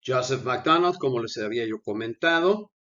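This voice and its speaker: An unwell man hosts his channel, talking viewers through a video in a casual commentary voice. He earnestly pleads with his audience at mid pitch. The sound is dull and lo-fi. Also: lively intonation, moderate pace, a bit bright. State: unwell, with coughing fits. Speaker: channel host. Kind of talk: conversational commentary over a video.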